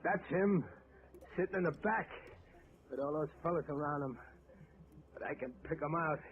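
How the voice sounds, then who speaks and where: A man's voice: a little high, moderate pace, natural voice. A man playing an unhinged, vengeful sniper. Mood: muttering. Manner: muttering, then panicky and pleading. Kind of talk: 1940s radio acting. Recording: tinny old radio broadcast, hiss.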